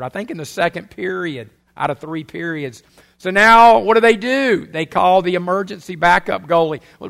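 Older pastor with a gravelly voice. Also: male voice, on the high side, fairly bright tone. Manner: folksy, chatty storytelling with many uhs. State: head cold. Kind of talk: sermon.

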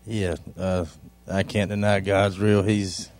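A man's voice: sits low, flat delivery, takes it slowly, a little mellow. A man from North Carolina, giving a sincere, hesitant personal testimony.

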